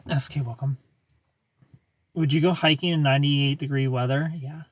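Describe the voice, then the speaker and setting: A man's voice: a little high, fairly even intonation, moderate pace, no echo. Laid-back man chatting on a livestream. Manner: smooth, laid-back, greets viewers by name. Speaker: livestream host. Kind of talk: casual livestream chat reading comments.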